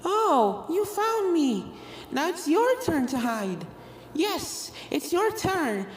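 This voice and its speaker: A woman's voice: very high-pitched, sing-song, slow, a little hiss or hum, voice pushed up, heavily acted. A woman voicing a pair of playful little ghost colts, five-year-old boys who echo each other in a childlike sing-song for a story reading. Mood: childishly playful. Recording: music behind the voice.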